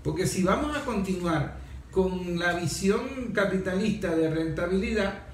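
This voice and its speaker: A Venezuelan man moderates a discussion in a heavily echoing room with faint noise. His voice is high for a man, and he argues his opinions through rhetorical questions. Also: animated, a little slow.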